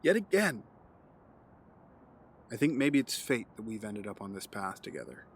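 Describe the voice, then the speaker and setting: An actor playing a calm, condescending, menacing villain mastermind in an audio drama, measured and taunting. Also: male voice, on the low side, creaky, slight background noise. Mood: smug, persuasive.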